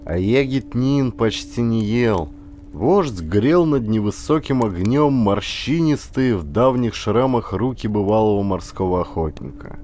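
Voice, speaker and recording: A man narrating a historical adventure audiobook in an expressive, theatrical way with deliberate pauses. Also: on the low side.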